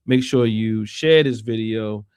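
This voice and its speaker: An American man hosting a casual livestream discussion, speaking haltingly and repeating phrases for emphasis. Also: lowish voice, a little mellow, clear, resonant tone, dry recording, clean studio sound. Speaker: online show host.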